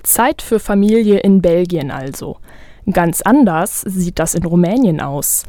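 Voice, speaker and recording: A woman reads a radio feature script in a calm, measured way, each phrase clearly placed. Her voice is mellow and soft-edged rather than bright. The sound is dry and close, with almost no room around it. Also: lowish voice, dry recording. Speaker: radio reporter.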